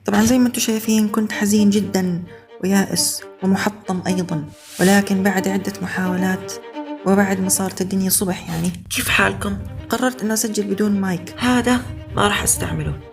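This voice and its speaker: A man's voice: high-pitched, fairly even intonation, quick pace, gravelly, soft background music. A young man with a Levantine Arabic accent, talking casually in a self-mocking video diary and sometimes slipping into jokingly formal Arabic. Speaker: amateur animator and vlogger.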